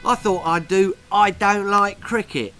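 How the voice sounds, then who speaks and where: A cocky schoolboy bully , played by a man reading a storybook, sneering and gloating. The voice sits on the high side and swings up in mock-friendly taunts, playing it cool over music. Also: steady tone, a little slow, fairly bright tone. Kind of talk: children's horror-comedy storybook reading. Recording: music behind.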